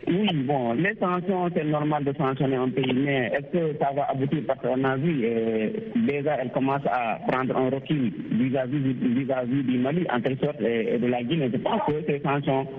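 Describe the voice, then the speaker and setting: A man's voice: medium pitch, normal speed, few pauses. A man calling a radio show, giving a reasoned political opinion with hesitations and repeated phrases. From Senegal. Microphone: phone. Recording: tinny phone line with clear noise.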